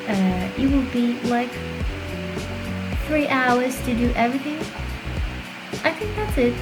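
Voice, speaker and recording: A woman's voice: a little high, poor audio. A woman whose first language is Portuguese, chatting casually and rambling a bit as she talks over her own video, often critical of her work. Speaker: game building creator. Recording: voice-over with clear background music. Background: background music.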